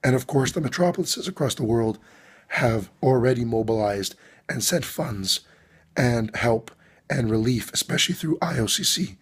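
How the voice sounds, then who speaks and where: A male priest with a hoarse, failing voice, giving a video blog address with many pauses and fillers. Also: mid-range voice, animated. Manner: hesitant, many ums and uhs. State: hoarse, losing his voice. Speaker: Orthodox priest. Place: abroad on a trip.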